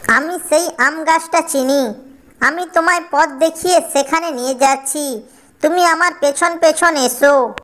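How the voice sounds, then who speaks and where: A woman's voice: sits high, measured pace, fairly bright tone. A woman voicing a friendly, helpful little firefly in a cartoon story.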